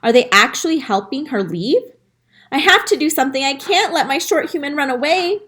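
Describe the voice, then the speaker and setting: A woman reading a children's story aloud in an expressive, animated way, with dramatic emphasis on key lines. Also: sits high, fairly quick. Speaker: school staff reader reading aloud to children.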